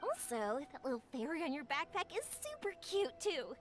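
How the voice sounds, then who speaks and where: A sunny young fireworks maker who gushes in a high, lively voice. Soft game music plays beneath her. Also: normal speed. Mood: friendly. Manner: bright, friendly, gushing. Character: sunny young woman, warm-hearted festival fireworks maker. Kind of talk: game cutscene voice acting.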